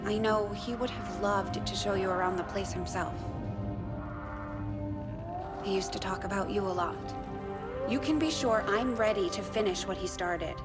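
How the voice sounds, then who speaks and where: Game chief engineer female actor: young, eager, confident, earnest, mid-range voice over loud music. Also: medium pitch, moderate pace, lightly acted. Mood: somber, then determined. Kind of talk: video game cutscene acting.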